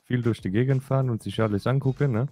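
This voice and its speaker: A man's voice: mid-range voice, fairly even intonation, fast talker, warm tone, no echo. A man chatting casually in character as a player in an improvised role-play game. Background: music in the background.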